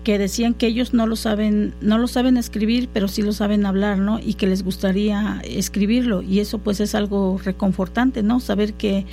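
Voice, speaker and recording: A woman's voice: medium pitch, little pitch movement, moderate pace, hardly any pauses, mellow, faint music behind. A woman whose first language is Totonac, speaking in a conversational interview, hesitant with many fillers and restarts. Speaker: guest interviewee.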